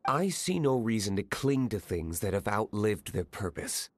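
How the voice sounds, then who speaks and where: A man voicing a stern, guarded young tavern owner in a video game cutscene, cool and deadpan. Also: lowish voice, normal speed, no echo. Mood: cold, dismissive.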